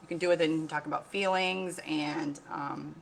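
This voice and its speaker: A woman reading a picture book aloud like a teacher, pausing to explain, ask questions and repeat key points. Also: deep voice, steady tone, vocal fry, low-quality recording. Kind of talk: read-aloud storytelling with explanatory asides.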